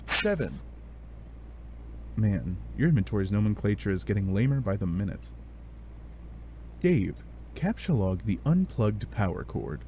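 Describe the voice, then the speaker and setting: A man giving animated, sardonic narration of a comic adventure, with playful dramatic asides. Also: lowish voice, noisy background. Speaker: narrator of a fan dub read-along.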